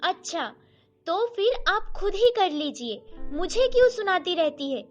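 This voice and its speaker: A woman playing a sharp-tongued, argumentative young daughter-in-law in a family story. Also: very high voice, big pitch swings, measured pace, muffled recording, faint music behind, pitched above the performer's own voice, exaggerated voice. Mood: irritated, defiant. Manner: argumentative, back-talking.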